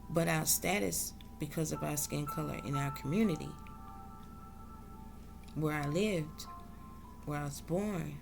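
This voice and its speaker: Woman vlogger, low gravelly voice, unhurried and reflective. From South Carolina, US. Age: adult. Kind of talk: slow reflective monologue.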